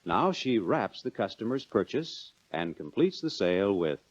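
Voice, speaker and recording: American guy doing old 40s training film voiceover, lower voice, animated and instructive, dull old soundtrack. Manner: instructive, measured, clearly addressing the viewer. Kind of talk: 1940s instructional film narration.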